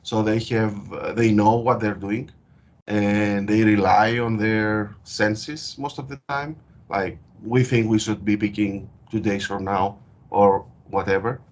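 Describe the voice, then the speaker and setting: A man with a Greek accent explains his work in a low voice, pausing often with hesitations as he thinks. The call audio is dull and lo-fi. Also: steady tone. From Greece. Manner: frequent hesitations, thoughtful and explanatory. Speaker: guest researcher. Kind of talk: interview over a call.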